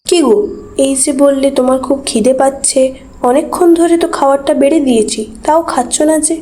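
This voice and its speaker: A woman voicing a caring, reassuring young wife in a horror cartoon, with a gentle, coaxing manner. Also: high-pitched, a little fast, close to an everyday voice. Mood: attentive. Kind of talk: Bengali horror cartoon voice acting.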